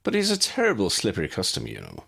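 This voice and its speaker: A man's voice: low-pitched, wildly swooping pitch, rapid-fire, gravelly, a bit bright, husky, exaggerated voice. A man voicing a friendly, chatty young Irish wizard in an audiobook, with an Irish accent and a lilting, conversational manner. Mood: friendly, candid.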